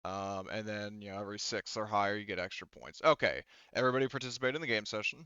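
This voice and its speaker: A man running a tabletop game as game master, talking casually and piecing his narration together haltingly as he goes. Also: on the low side, pushed and bright, smooth, no room sound, highs cut off.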